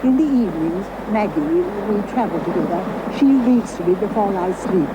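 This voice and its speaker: Woman acting a genteel chatty lady, lively intonation. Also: medium pitch, normal speed, natural voice. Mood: pleasant, coy. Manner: polite, chatty. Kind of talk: television drama acting. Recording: dull audio, loud boat noise.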